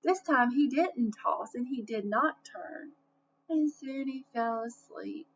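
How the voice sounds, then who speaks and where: Woman, high-pitched, slow-paced, expressive children's storybook narration, faint background noise. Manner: expressive, asks listeners questions. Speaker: storybook reader. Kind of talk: children's read-aloud narration with asides. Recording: dull lo-fi sound, faint noise.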